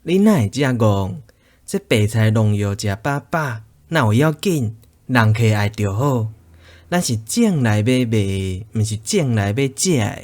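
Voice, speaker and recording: 一位男演員飾演只顧賺錢、不屑一顧的農家兒子，操台語口音。. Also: male voice, fairly low pitch, expressive, unhurried, darkish tone. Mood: scornful. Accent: Taiwanese Hokkien. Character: farmer's son, greedy, dismissive. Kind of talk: radio drama acting.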